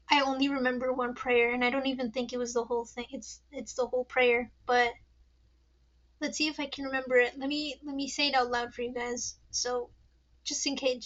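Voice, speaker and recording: High young-sounding woman, rambling nervously. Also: fairly bright tone, muffled recording. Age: young adult. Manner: rambling, nervous, swears often, laughs at herself. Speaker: podcast host. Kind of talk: casual solo podcast chat.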